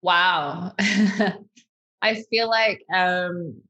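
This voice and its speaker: A woman in her thirties with a New Zealand accent, telling her story as a podcast guest in a lively, chatty way. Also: lowish voice, very slow, muffled recording. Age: 30s. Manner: lively and chatty, many fillers like "like" and "um". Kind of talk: casual interview storytelling.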